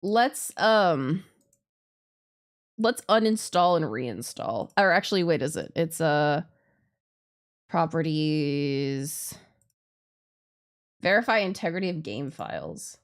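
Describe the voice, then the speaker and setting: A woman streamer chatting casually through a game, expressive and giggly, often swearing and interrupting herself. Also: low voice, takes it slowly, long pauses. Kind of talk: live visual novel playthrough commentary, casual chat.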